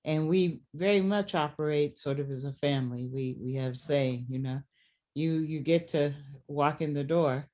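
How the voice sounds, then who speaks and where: A middle-aged theater director hosting a post-show discussion, reflective and halting, often repeating words. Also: medium pitch, a little mellow. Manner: reflective, halting with word repetitions.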